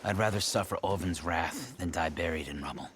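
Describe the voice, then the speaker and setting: A weary, gruff miner. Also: male voice, low-pitched, fairly quick, fairly bright tone, slight background noise. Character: weary male miner, worried, downtrodden. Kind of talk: video game dialogue.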